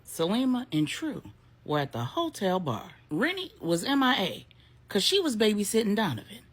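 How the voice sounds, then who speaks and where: A woman's voice: medium pitch, very animated, normal speed, husky. A woman storyteller narrating a drama in a casual, colloquial style.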